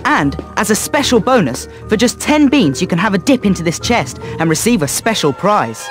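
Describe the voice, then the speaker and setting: A man's voice: high-pitched, big pitch swings, normal speed, faint music behind. A man voicing a cheeky teenage wizard who runs a joke shop in a fantasy game, with mock-grand sales patter. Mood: playful, selling. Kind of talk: British fantasy game acting.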